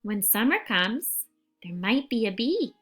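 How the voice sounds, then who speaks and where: A woman reading a picture book aloud for young children and giving gentle instructions, phrasing things deliberately and carefully. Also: medium pitch, sing-song, faint music behind.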